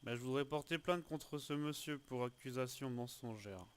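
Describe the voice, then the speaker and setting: A man's voice: medium pitch, moderate pace, slight background noise. A man improvising as a suspect in an online roleplay, arguing and repeating himself in colloquial speech. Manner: protesting, argumentative, repeats himself. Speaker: player acting a suspect in an online roleplay game.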